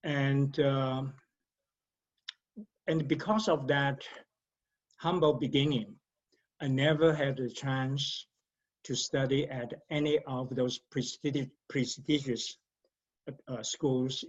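A man with a Taiwanese accent, a professor speaking in a reflective conversation, talking deliberately and often repeating words as he thinks. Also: mid-range voice, steady tone, highs cut off. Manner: deliberate, halting, frequent repetitions and fillers.

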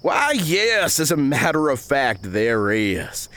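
A man's voice: medium pitch, very animated, slow, a bit bright, voice pushed up. A man voicing a pompous, long-winded older banker on the frontier, with flowery and ingratiating speech.

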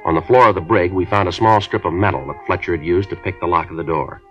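An actor playing a police detective who narrates a 1950s radio drama in a clipped, matter-of-fact delivery. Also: male voice, low voice, fast, a bit bright, soft background music. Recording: muffled old radio broadcast.

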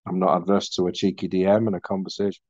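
A man in his forties with a softened Mancunian accent, chatting casually and self-deprecatingly as a podcast guest over a video call. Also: deep voice, level tone, fast, no room sound, lo-fi sound. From Manchester, England.

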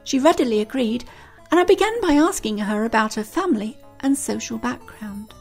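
A woman presents in scripted narration. Her voice sits a little high, with music playing faintly behind it. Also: animated, moderate pace, darkish tone. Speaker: presenter introducing an interview.

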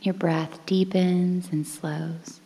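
A woman guiding a relaxation meditation in a soothing, breathy, near-whispered voice with measured phrases and pauses. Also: on the low side, flat delivery, mellow. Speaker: guided meditation instructor. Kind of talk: guided relaxation meditation, softly spoken. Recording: long reverberant hall-like echo.

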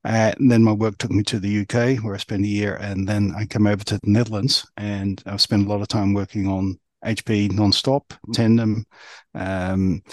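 A man with a mixed New Zealand accent giving conversational interview answers as a podcast guest, in halting phrases. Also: on the low side, little pitch movement, darkish tone, no echo. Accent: New Zealand, mixed by years abroad. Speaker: podcast guest, resilience professional.

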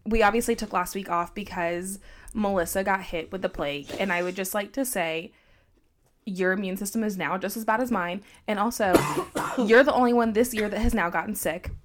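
A woman's voice: mid-range voice, brisk, slight background noise. A young woman co-hosting a casual chat podcast, teasing her friend, interrupting and laughing often. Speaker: podcast co-host.

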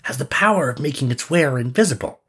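A man acts out a confident storybook prince, pitched a little above his own voice. The prince is courteous and formal, presenting himself like a showman. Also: animated, fast, sharp tone, husky. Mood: proud, showy. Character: young prince, courteous, confident wonder-worker.

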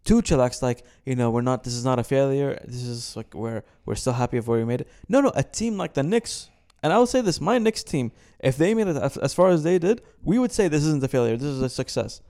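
A man's voice: mid-range voice, a little fast, few pauses. A young man from Kuwait with an American-sounding accent, co-hosting a casual sports chat and giving his opinions. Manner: opinionated, animated when ranting. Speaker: podcast co-host.

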